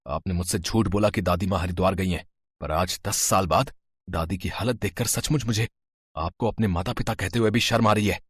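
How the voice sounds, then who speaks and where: A man's voice: sits low, fairly quick, husky, no room sound. A man voicing an indignant twenty-year-old grandson in a storybook tale, earnest and reproachful. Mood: angry, hurt.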